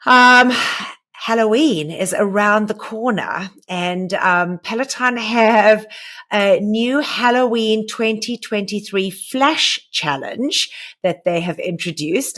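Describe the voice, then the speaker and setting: A woman co-hosts a podcast with a fairly low voice. She talks in a relaxed, casual way, pausing often and restarting while she searches for the right words. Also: gravelly. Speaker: podcast co-host. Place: lives in the United States.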